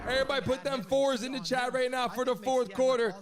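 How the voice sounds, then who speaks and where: A man hosting a livestream sports show, excitedly giving slangy shout-outs and chatting casually with his viewers. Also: very high voice, fast talker, crowd noise. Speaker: live stream sports show host. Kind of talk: loud casual livestream hype chat. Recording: loud music behind the voice. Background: loud music.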